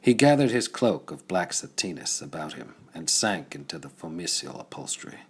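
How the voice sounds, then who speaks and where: A man narrating a pulp science fiction story as a dramatic audiobook, with a husky voice. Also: sits low, sing-song, normal speed.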